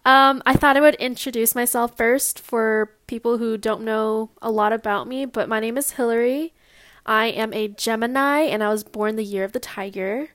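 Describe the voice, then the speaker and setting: High-voiced young Californian woman podcasting. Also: fairly even intonation, moderate pace, fairly bright tone, no room sound. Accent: Californian American. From Long Beach, California, US. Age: 20s. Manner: candid, informal, slightly nervous. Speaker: podcast host. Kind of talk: casual personal podcast monologue.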